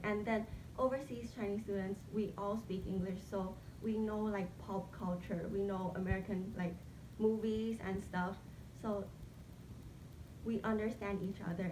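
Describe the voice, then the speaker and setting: A young woman from Thailand in her twenties, chatting casually in an interview, with lots of fillers. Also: medium pitch. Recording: echoey room, background noise.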